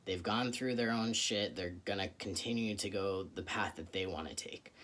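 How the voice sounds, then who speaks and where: A young man talking earnestly into the camera in a personal vlog, reflective, with frequent pauses and fillers. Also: low-pitched, fairly bright tone. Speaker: vlogger.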